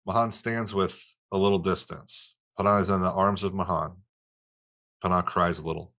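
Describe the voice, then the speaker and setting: A man with a deep, low voice narrates a screenplay. He reads out scene descriptions and stage directions as scripted narration. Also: fairly even intonation, moderate pace, a bit bright.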